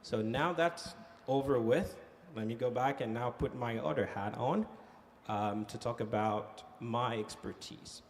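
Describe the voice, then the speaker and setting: A man who grew up in Haiti speaks on a conference panel, relating his own experience in an easy, story-telling way with plenty of "uh" and "um". His pitch sits in a middle range. A faint background noise runs under the voice. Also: a little slow. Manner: animated storytelling with frequent fillers. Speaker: panelist, economic development director. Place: conference hall.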